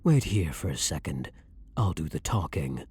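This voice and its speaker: A man playing a blunt, brooding vampire hunter, himself a vampire, in a measured, dominant ASMR roleplay with a breathy voice. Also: a bass voice, very animated, a little mellow, slight background noise. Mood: calm, guiding. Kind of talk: ASMR roleplay, dramatic monologue.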